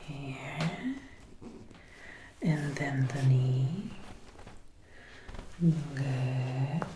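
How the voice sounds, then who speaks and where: A woman doing a soft-spoken ASMR roleplay, often whispering, gently and methodically narrating each step close to a binaural microphone. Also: very deep voice, very slow. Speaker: ASMR creator. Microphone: close binaural microphone, ear to ear. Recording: roomy close-up binaural, some noise.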